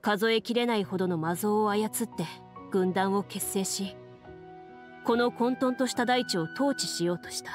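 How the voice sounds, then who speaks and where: A woman playing a composed, serious young duelist who tells lore in a measured, matter-of-fact way for a video game. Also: mid-range voice, soft background music, close to an everyday voice. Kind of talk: scripted game dialogue and storytelling.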